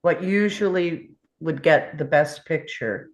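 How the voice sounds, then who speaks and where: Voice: mid-range voice, takes it slowly. A person chatting casually about films in a group discussion.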